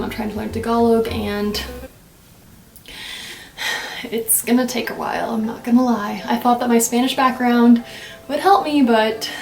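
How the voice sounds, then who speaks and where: Young woman, upbeat casual vlogger, higher voice, recorded off-mic in an echoey room. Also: darkish tone, far from the mic. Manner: chatty and upbeat, lots of "like" and "right?". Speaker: language-learning vlogger. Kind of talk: casual to-camera vlog.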